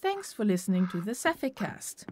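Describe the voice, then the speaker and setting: A woman's voice: mid-range voice, big pitch swings, moderate pace, darkish tone, natural voice. A woman playing a podcast creator in a homely audio skit, speaking in a casual, understated manner. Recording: noisy, slightly rough recording.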